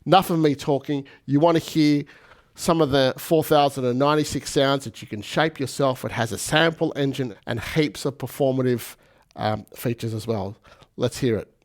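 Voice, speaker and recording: A man's voice: mid-range voice, normal speed, slight background noise. A man presenting a casual, jokey and enthusiastic product review and demo. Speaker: gear reviewer.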